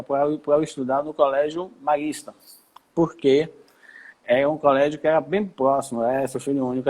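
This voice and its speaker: Man, federal judge, relaxed, rambling, video call. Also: on the high side. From Brazil, Salvador. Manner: relaxed, rambling, many restarts. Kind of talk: live-stream interview, telling his career story.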